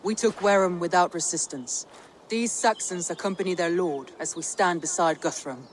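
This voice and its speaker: Game casting: actress as confident female Viking leader, lowish voice, some background noise. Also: normal speed, natural voice. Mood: confident, firm. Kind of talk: video game cutscene acting.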